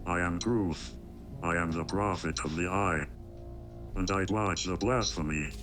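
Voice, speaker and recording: A man playing a fanatical computer oracle turned prophet of a dark god, preaching grandiosely in a scripted horror monologue. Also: low voice, steady tone, unhurried. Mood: zealous, menacing. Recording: music behind the voice.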